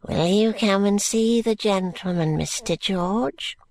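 A woman's voice: mid-range voice, takes it slowly, a little mellow. A woman voicing a breathless, wheedling and spiteful old male moneylender in a storybook reading. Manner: fawning endearments breaking into angry curses.

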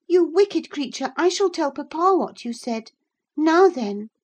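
A woman voicing a spoiled, imperious young girl in an audiobook, petulant and quick to take offence. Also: high voice, animated, pitched above the performer's own voice. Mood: indignant. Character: haughty, indignant young girl, spoiled and tearful. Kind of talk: audiobook character voice.

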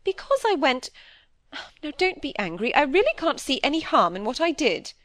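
Woman acting a high, fretful, pouting wife. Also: sing-song, moderate pace, fairly bright tone, dry recording, clean studio sound. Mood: eager, justifying. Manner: plaintive, pouting, evasive. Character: fretful, wheedling, self-excusing middle-aged wife.

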